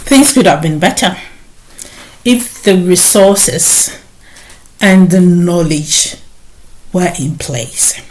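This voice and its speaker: A middle-aged woman from Ghana, a nurse hosting her own vlog, talking slowly with many hesitant pauses. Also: on the low side, darkish tone, low-quality recording. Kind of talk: personal talk to camera.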